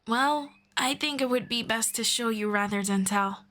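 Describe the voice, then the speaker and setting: A woman playing a calm, patient elf friend. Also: fairly high pitch, natural voice. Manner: soft, unhurried, politely formal. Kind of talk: ASMR roleplay.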